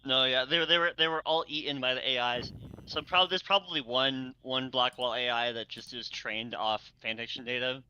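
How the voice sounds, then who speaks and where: A man chatting casually and excitedly, rambling and stammering as he goes and often breaking into laughter. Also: medium pitch, moderate pace, lo-fi sound, slight background noise. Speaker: co-host of a fan fiction readalong. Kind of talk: casual enthusiastic group chat.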